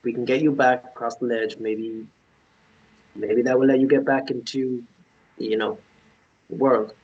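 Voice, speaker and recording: Through a muffled, low-fidelity line comes a man acting a young romantic hero of a fantasy drama. He is protective and earnest, pleading in halting phrases at an ordinary male pitch. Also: steady tone, darkish tone, close to an everyday voice. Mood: earnest, determined. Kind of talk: dramatic table read acting.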